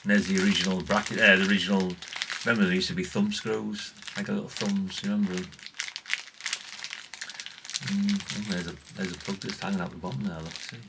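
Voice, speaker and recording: Low-voiced man, noisy recording. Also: steady tone, a little fast, vocal fry. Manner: rambling, chatty, with asides. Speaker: vlogger showing vintage CB radios. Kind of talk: casual, unscripted chat.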